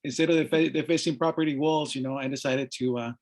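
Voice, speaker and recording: A middle-aged man from Mexico, speaking on an art panel and telling his story in a halting way, with many fillers and restarts. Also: medium pitch, steady tone. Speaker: panelist, artist. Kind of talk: panel discussion, personal story.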